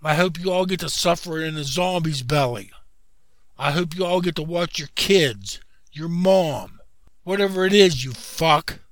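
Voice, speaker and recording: A man's voice: on the high side, measured pace, fairly bright tone, no room sound. A man voicing a bitter, vengeful man who taunts and curses with spite. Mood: venomous gloating.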